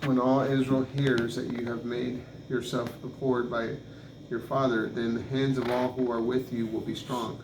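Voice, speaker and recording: Man reading scripture aloud, flat and echoey. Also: medium pitch. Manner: halting, stumbles over names and restarts. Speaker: Bible reader. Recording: echoey room with clear background noise.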